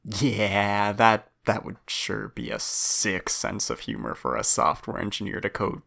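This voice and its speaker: A man playing a hesitant, gently teasing human passenger aboard a starship in an audio drama, speaking in a stammering, tentative way. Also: on the low side, fairly even intonation, unhurried, gravelly, full character voice. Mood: curious, awkward.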